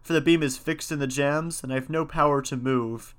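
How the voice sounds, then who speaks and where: A man's voice: on the high side, fairly even intonation, fairly quick, a bit bright, clear, resonant tone, lightly acted. Plaintive, helpless enchanted object from a folktale, voiced by a male storyteller, declaiming its refusal. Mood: resigned refusal. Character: helpless enchanted household things refusing witches.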